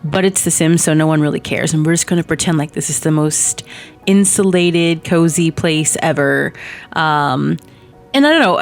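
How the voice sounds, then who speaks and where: A lowish-voiced woman in her thirties, a little hoarse and froggy from a lingering cold, talks over gameplay in a loose, rambling way full of ums and small laughs. Quiet background music plays beneath her voice. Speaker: Sims speed-build creator. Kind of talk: casual voice-over chat over gameplay.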